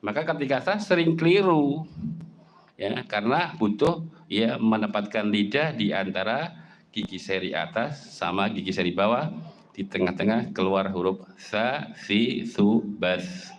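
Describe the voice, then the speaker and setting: A middle-aged Javanese man giving a lively sermon, with a Javanese accent, often jumping up in pitch for emphasis and asking rhetorical questions. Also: unhurried. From Java, Indonesia. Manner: animated, swings pitch up for emphasis, rhetorical questions, mimics speech. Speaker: Islamic preacher.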